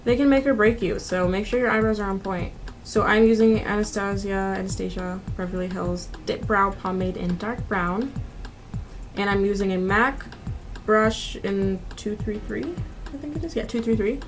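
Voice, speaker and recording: A woman in her twenties talks through a makeup routine in a chatty, informal manner. Her voice sits at a medium pitch, and music plays along behind her. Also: moderate pace. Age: 20s. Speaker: beauty vlogger. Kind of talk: casual makeup tutorial narration. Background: background music.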